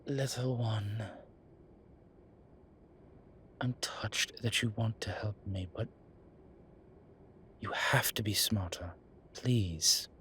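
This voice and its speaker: A man playing a fond, teasing vampire deacon in an ASMR roleplay, with a breathy voice and theatrical, sardonic phrasing. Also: lowish voice, a little hiss or hum. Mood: worried, tender. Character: male vampire deacon, fond, teasing, exasperated, protective, dryly witty. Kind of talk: ASMR roleplay audio drama addressed to the listener.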